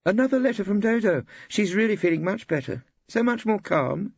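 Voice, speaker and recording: A man voicing a brisk, domineering older English wife in an emphatic, chatty way for an audiobook, slightly dull in sound. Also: high-pitched, animated, creaky, slightly rough, dry recording, pitched above the performer's own voice, full character voice. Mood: pleased.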